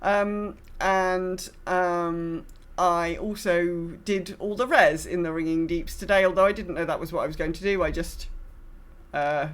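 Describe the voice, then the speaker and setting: A woman's voice: on the low side, steady tone, measured pace. A woman co-hosting a gaming podcast in casual chat, rambling and often correcting herself mid-sentence. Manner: rambling, self-correcting, quotes herself in asides. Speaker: podcast co-host.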